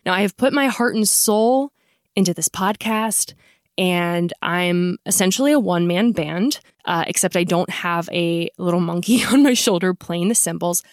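A woman's voice: lowish voice, normal speed, dry recording, clean studio sound. A woman hosting a podcast in a casual, blunt manner, swearing freely and poking fun at herself. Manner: blunt and profane, self-mocking. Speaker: podcast host.

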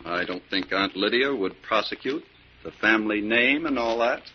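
An actor playing a suave, scheming young heir in a 1940s radio drama, with a theatrical, calculating manner. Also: male voice, fairly low pitch, moderate pace, a little hiss or hum, natural voice. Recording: muffled old 1940s radio recording.

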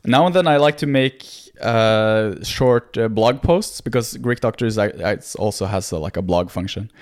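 A man's voice: lowish voice, normal speed. A young man in his twenties with a Norwegian accent, a non-native English speaker, talking earnestly and modestly as a guest in a casual interview. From Norway. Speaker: podcast guest, medical student.

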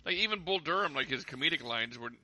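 A man's voice: fairly high pitch, expressive, fast talker, pushed and bright, poor audio. A man in casual podcast chat about movies, hesitant and full of restarts. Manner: hesitant, many fillers and restarts. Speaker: podcast co-host.

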